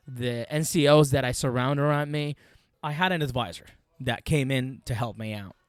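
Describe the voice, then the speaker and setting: A man's voice: medium pitch, no room sound. A man in his thirties from Iraq, a native Arabic speaker with an Iraqi accent, tells a personal war story in an interview, emphatically and with repeated phrases. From Iraq, Baghdad. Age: 30s. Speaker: podcast guest, military veteran.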